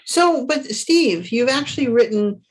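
Husky woman hosting a casual chat. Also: a little high, expressive, measured pace, poor audio. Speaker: podcast host.

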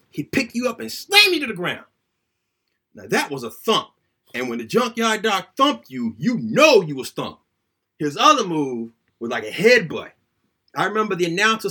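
A man performs a first-person story aloud in a high, husky voice. His pitch swings widely as he tells it. The delivery is conversational and colloquial, with a dramatic storyteller's animation. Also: pushed and bright.

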